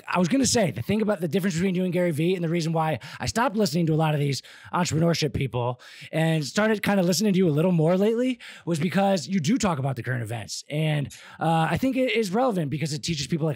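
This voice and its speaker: A man's voice: a little high, darkish tone, dry recording. A young man hosting a podcast, asking questions hesitantly with many fillers and eager reactions. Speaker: podcast host. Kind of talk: casual interview chat.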